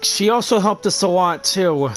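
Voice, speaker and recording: A woman's voice: lowish voice, expressive, normal speed. A woman doing casual let's play commentary as a gaming YouTuber, reading some dialogue aloud. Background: music.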